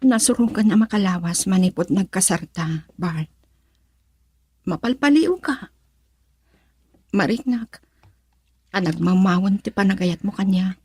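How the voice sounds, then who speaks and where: Babaeng gumaganap na balisang asawa, paos ang boses. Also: female voice, mid-range voice, animated, unhurried, long pauses, a little mellow, slightly rough. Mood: remorseful, hurt. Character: troubled, remorseful young wife in love triangle. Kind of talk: Ilocano radio drama acting.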